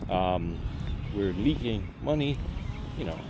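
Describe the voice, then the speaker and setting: A man in his late fifties talks through advice on a walk outdoors, rambling and restarting with plenty of fillers. His voice sits low. Clear street noise and passing cars run behind him. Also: expressive, takes it slowly. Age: 50s. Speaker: vlogger giving money and marriage advice. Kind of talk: casual walk-and-talk monologue. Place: outdoors on a walk near traffic.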